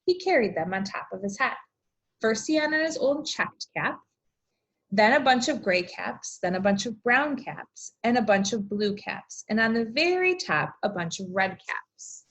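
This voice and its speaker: A woman teacher reading aloud and explaining things to young children, with expressive, deliberate emphasis. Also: fairly high pitch. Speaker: elementary school teacher.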